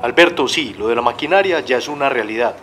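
A man's voice: mid-range voice, animated, fast talker, a bit bright, faint music behind, close to an everyday voice. A man playing an assured, businesslike company executive in a scripted podcast scene. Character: businessman updating a colleague on a machinery purchase, assured.

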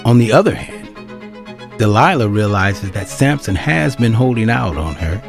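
A man's voice: fairly low pitch, animated, moderate pace, vocal fry. A man reading scripted narration for an audio drama, with string music behind him.

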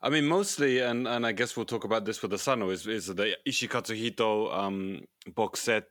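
Podcast co-host: male, lowish pitch, weary and hesitant, conversational, very dry close recording. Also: fairly bright tone, no echo. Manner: hesitant, repeats words. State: tired, low energy. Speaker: podcast co-host, film distributor. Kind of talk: casual podcast chat.

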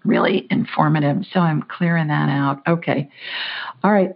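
A woman hosting a call-in show, talking in a brisk, chatty, folksy way. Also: low-pitched, creaky. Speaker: psychic show host. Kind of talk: call-in radio chat. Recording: muffled lo-fi audio.

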